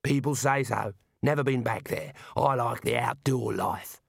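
Guy doing a breezy dwarf settler in an audiobook, blunt and clipped, middle pitch, dry sound. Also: measured pace, vocal fry, breathy edge, dry recording, heavily acted. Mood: breezy, proud. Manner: blunt, colloquial, clipped sentences.

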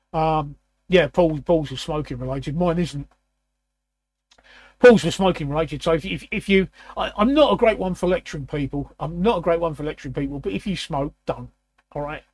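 A man in his sixties with a croaky, raspy voice, hosting a casual chat and often clearing his throat. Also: a little high, darkish tone, no room sound. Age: 60s. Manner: repeats words, frequent throat clearing. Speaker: host.

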